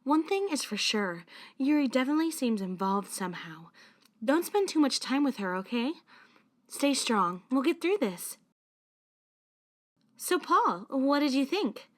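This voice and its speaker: A woman playing a protective high school club leader who is caring and secretly anxious, in a fan-made visual novel dub. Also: very animated, unhurried, long pauses. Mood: cheerful, encouraging.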